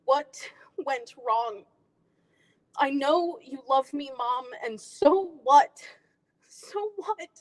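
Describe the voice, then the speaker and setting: A woman performing a dramatic monologue as a wounded, bitter grown daughter confronting her mother, emotional and pausing deliberately. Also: sits high, expressive, voice pushed up, full character voice, poor audio. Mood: bitter, accusing. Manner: emotional, tearful, deliberate pauses.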